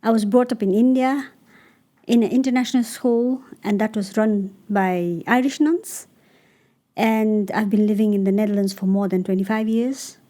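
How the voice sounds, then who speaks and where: A middle-aged woman from Nepal speaks English. Her voice is mellow and warm, at a middle pitch. She answers as an interview guest, thoughtful and unhurried, stopping often on "uh". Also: fairly even intonation. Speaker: guest, cross-cultural coach.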